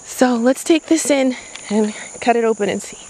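A woman's voice: on the high side, moderate pace, mellow, a little hiss or hum, low-quality recording. A middle-aged woman giving a casual, upbeat tour of her backyard garden, talking to a handheld camera. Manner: chatty and upbeat, talking to the camera while working outdoors. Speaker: gardening vlogger. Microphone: handheld camera mic. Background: faint insects and crickets.

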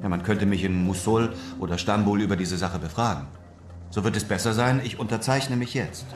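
An actor playing a shrewd, composed European traveller in a radio drama, speaking in a measured, assured way. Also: male voice, lowish voice, reverberant, music in the background, lightly acted. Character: confident, shrewd European traveller, narrating his adventures. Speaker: narrator and lead actor. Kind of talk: radio drama acting and narration.

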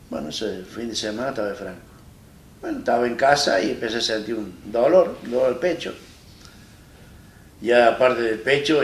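A man in his fifties with an Argentine accent, telling his own story in a plain-spoken, halting way as an interview guest. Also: medium pitch. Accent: Argentine Spanish. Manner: plain-spoken, halting and retelling with many restarts. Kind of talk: personal story in a studio interview. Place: small studio set, seated in armchair. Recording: roomy echo, distant mic, faint hiss.

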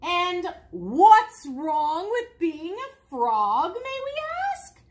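A woman's voice: high-pitched, wildly swooping pitch, measured pace, pitched above the performer's own voice, exaggerated voice. A woman voicing an indignant, bewildered family of pond frogs in a children's storybook, exclaiming and questioning huffily. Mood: huffy. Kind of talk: children's storybook read aloud.

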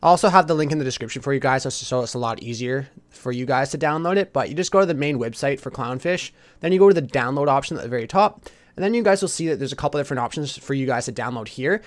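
A man giving a casual step-by-step software tutorial as a voice-over, addressing viewers informally. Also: medium pitch, lively intonation, fast. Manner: instructional, addresses viewers informally as "you guys". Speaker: tutorial host.

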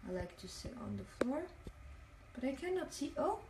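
A woman's voice: high voice, big pitch swings, normal speed, noisy background. A young woman from Italian-speaking Switzerland, whose first language is Italian, chatting casually on a livestream while sitting on a hotel bathroom floor and reading comments aloud. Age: young adult. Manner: chatty, reads comments aloud, many fillers. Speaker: livestream host. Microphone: phone held at arm's length, talking to a mirror. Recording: echoey tiled bathroom, phone mic at a distance.

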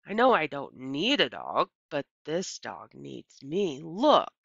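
A woman's voice: low voice, sing-song, takes it slowly, creaky, fairly bright tone, husky, no room sound, full character voice. A woman voicing an earnest young girl in a storybook, politely coaxing and pleading with emphasis. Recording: dull, lo-fi computer microphone sound.

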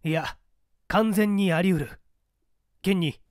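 A man's voice: sits high, slow pace, a little mellow, no room sound, studio quality, voice pushed up. A man voicing an intense, probing member of a secret party in an audiobook, with an earnest, pressing, questioning manner. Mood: insistent. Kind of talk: audiobook character acting.

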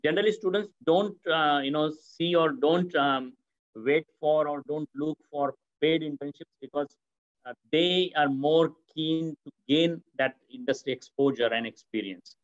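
A man's voice: fairly high pitch, a little slow. A middle-aged man answering questions on a video call from an office, speaking hesitantly with many fillers and restarts. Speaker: academic panelist. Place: office with city window. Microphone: laptop webcam mic on video call. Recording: muffled video-call audio.

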